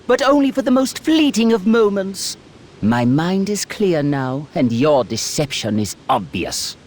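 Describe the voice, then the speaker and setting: Snooty evil space emperor for a game, mocking, pitch swoops all over, slight rumbly noise. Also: male voice. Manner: pompous, sardonic, elaborate phrasing. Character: haughty alien tyrant lord, silky, mocking, menacing. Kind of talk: theatrical villain acting in game cutscenes.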